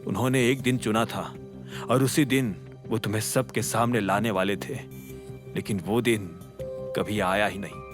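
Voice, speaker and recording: A man's voice: mid-range voice, animated, normal speed, pitched below the performer's own voice, natural voice. Man playing a grave, confiding loyal associate. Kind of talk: audio drama dialogue. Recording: music under the voice.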